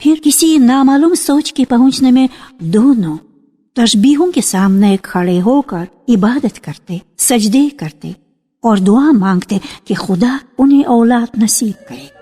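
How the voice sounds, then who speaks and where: High-voiced woman storyteller, slow and expressive. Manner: measured, expressive reading. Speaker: narrator of a dramatized short story. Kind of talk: scripted literary radio narration, storytelling. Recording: archival radio recording with music.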